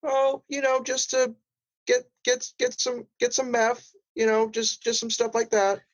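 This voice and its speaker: Small cartoon crab, very high voice, hesitant, naive yet scheming, begging and rambling through improvised comedy. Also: male voice, level tone, a little slow. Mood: innocent, hesitant. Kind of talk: improvised comedy role-play.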